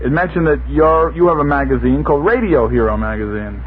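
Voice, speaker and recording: A man's voice: fairly high pitch, lively intonation, fairly quick. A man asking questions in a conversational radio interview, hesitant and inquisitive. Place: 1960s radio studio. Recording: tinny old radio recording, faint noise.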